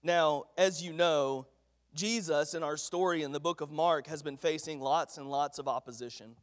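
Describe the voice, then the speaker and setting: A man's voice: fairly high pitch, moderate pace. A man preaching a folksy, earnest sermon while congested with a head cold. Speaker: pastor. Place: church sanctuary. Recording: echoey church hall.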